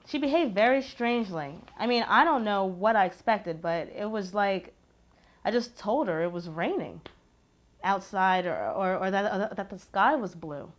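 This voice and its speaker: A woman's voice: mid-range voice, expressive, normal speed, lightly acted. A woman playing a candid, self-assured girlfriend, speaking frankly in a dramatised documentary interview. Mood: puzzled, defensive.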